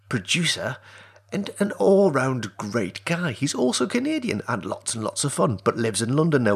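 A man's voice: mid-range voice, gravelly, breathy edge. A man hosting his own podcast, introducing and interviewing a friend in a friendly, enthusiastic way. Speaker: podcast host. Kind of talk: casual interview.

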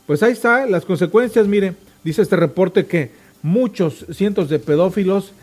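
A man's voice: high-pitched, normal speed. A man hosting a morning radio show, reading news reports and commenting in a colloquial way, speaking straight to listeners. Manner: colloquial, addresses listeners directly.

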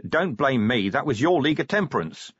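A man's voice: mid-range voice, sing-song, a little fast, fairly bright tone, husky, dry recording, highs cut off, pitched above the performer's own voice. A man voicing an irritable, world-weary police commander with a curt, sardonic way of talking, in an audiobook story.

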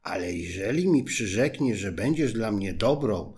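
A man's voice: lowish voice, lively intonation, moderate pace, a little mellow, natural voice. A man voicing a persistent female talking frog in a fairy tale, coaxing and pleading.

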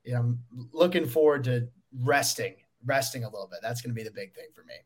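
A tired young man in his twenties, a guest analyst on a podcast, slightly hoarse and talking casually with many fillers. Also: mid-range voice, animated, fast. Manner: rambling, lots of fillers.

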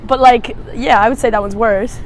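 A young woman co-hosting a casual podcast chat, deadpan and crude in her jokes and quick to laugh. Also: a little high. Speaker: podcast co-host.

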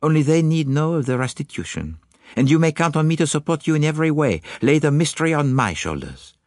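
A man's voice: fairly high pitch, very animated, fairly quick, no echo, studio quality, voice pushed up. A man voicing a courtly, confident Belgian detective with a French-speaking accent and formal, precise phrasing, in an audiobook story. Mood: confident, persuasive. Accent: French-speaking Belgian, put on.

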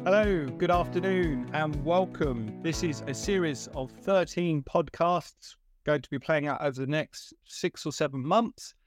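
A man's voice: fairly high pitch, unhurried, hardly any pauses, faint music behind. A man in his fifties hosting a podcast interview, friendly and rambling, with stammered restarts and chuckles. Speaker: podcast host.

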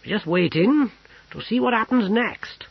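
Man doing a loud bossy sergeant in a cook disguise, kinda husky, dull sound. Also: high voice, lively intonation, a little slow, no room sound, pitched above the performer's own voice, heavily acted. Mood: commanding. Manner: shouts commands. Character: gruff one-eared soldier posing as cook.

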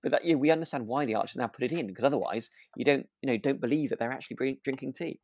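A man's voice: mid-range voice, racing, darkish tone, no echo, clean studio sound. A man co-hosting a podcast in casual chat about a radio drama, agreeable, with hesitant, trailing phrasing. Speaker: podcast co-host.

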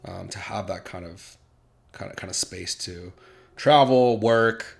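A young man chatting casually as a podcast guest, relaxed and halting, with many fillers and restarts. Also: deep voice, creaky. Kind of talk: casual chat.

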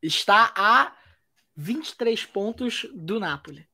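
A woman's voice: medium pitch, lively intonation, slow pace, bright, forceful tone. A Brazilian woman hosting a podcast, chatting about football in a loose, wry way with many restarts. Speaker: podcast host. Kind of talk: casual football chat.

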